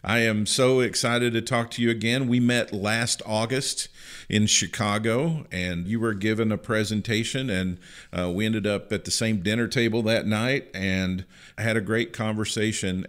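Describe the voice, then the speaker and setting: A middle-aged man hosts an interview podcast. His voice sits on the lower side, and he sounds upbeat and friendly as he asks his questions. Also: unhurried, fairly bright tone. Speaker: podcast host.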